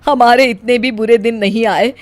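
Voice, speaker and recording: A woman's voice: a little high, steady tone, moderate pace, no room sound, lightly acted. A woman voicing a suspicious, scolding older mother-in-law in a theatrical cartoon style. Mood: indignant. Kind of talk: Hindi cartoon story acting.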